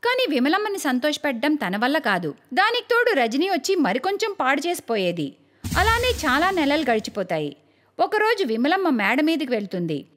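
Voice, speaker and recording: High, animated woman storyteller. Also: moderate pace, a little hiss or hum. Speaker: narrator. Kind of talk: scripted storytelling narration for a cartoon.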